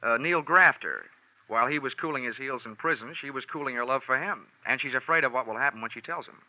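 A dull vintage radio recording carries a sardonic, flippant investigator. His voice is mid-pitched and very sharp and forceful, delivered in classic 1940s detective drama style. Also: fast talker, no room sound. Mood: questioning. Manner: wry, sardonic. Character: wry, flippant male freelance insurance investigator. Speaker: lead actor and narrator. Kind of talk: 1940s radio detective acting, wry first-person narration.

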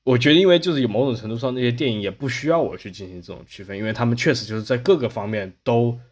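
Man, podcast host, mid-pitched, rapid delivery, casual discussion, wordy and often rephrasing.

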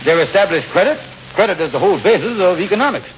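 An actor playing a scheming, glib husband with blustering, folksy, ungrammatical speech in an old radio comedy. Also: male voice, high-pitched, expressive, quick pace. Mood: smooth-talking persuasion. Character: scheming, glib husband, fast-talking buddy of dubious ideas. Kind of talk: 1940s-50s radio comedy acting. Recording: noisy, muffled old radio broadcast.

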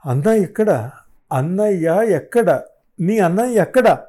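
A man's voice: sits high, expressive, measured pace, warm tone, pitched above the performer's own voice. A man voicing a shaken, anxious older father, questioning insistently in a dramatized audiobook. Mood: desperate, demanding. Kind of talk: dramatized audiobook novel dialogue.

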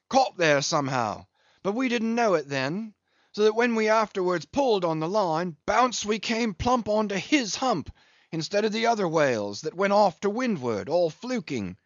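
A man voicing a cheerful one-armed English sea captain who spins a lively yarn. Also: sits high, normal speed, no echo, muffled recording, voice pushed up. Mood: hearty. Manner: hearty, lively yarn-spinning.

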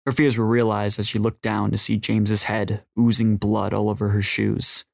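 A man narrates a fiction story in audiobook style. His voice is low, and the recording is dry and close with little room sound. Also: flat delivery, moderate pace, vocal fry, no echo.

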